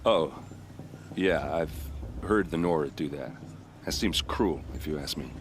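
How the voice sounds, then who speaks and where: Swaggering vanguard fighter for a video game: deep, casual, flirty, clearly noisy background. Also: male voice, measured pace. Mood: earnest storytelling. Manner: casual, teasing, boastful. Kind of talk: video game dialogue acting.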